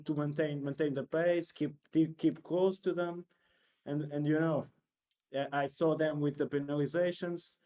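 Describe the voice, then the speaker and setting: A man from Portugal speaking English with a Portuguese accent, in halting, repetitive phrases, interviewed over a voice chat call. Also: fairly high pitch, dark tone. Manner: halting, repeats words, searching for English. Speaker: interviewed sim racer. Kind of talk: casual interview.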